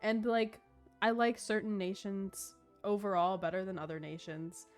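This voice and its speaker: A woman's voice: medium pitch, steady tone, moderate pace. Girl streaming a game, gushy n casual, kinda giggly, bit of music in the back. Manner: gushing, informal, giggly. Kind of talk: casual live stream commentary.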